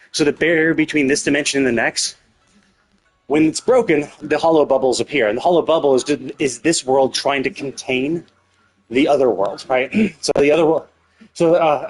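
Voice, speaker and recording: A man livestreaming a game, thinking aloud in a casual, rambling, hesitant way with some swearing. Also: medium pitch. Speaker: live game streamer.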